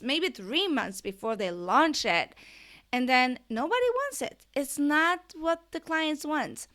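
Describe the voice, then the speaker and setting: A woman's voice: on the high side, a bit bright. A woman from Norway with a Norwegian accent, talking as an online business coach in an eager, chatty podcast monologue that often asks the listener questions.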